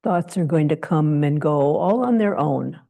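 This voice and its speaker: A woman leading a guided meditation as a teacher, speaking in short, gentle phrases of invitation with long pauses between them. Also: deep voice, expressive, vocal fry.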